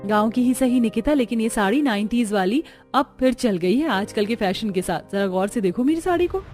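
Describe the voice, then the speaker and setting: A woman plays a sensible young village daughter-in-law. She speaks plainly and reprovingly in a high voice, with faint music, in a cartoon drama. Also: animated, a little fast, darkish tone, close to an everyday voice. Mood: defensive.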